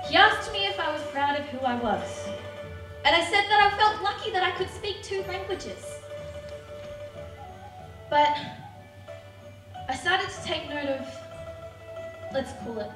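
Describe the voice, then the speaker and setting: A young woman telling a wry personal story on stage, acting out what other people said. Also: high-pitched. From Australia. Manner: wry, animated, acts out other people's words. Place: theatre stage. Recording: roomy hall, mic at a distance, music behind.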